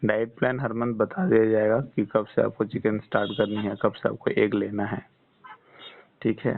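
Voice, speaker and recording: A man's voice: fairly low pitch, flat delivery, moderate pace, vocal fry, a little hiss or hum. A man giving casual, reassuring health advice as a counsellor on a phone consultation. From West Bengal, India. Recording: muffled, band-limited phone call recording.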